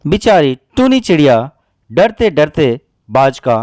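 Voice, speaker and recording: A man's voice: on the high side, sing-song, measured pace, darkish tone, dry recording, studio quality. A man narrating a scripted children's cartoon story as a storyteller.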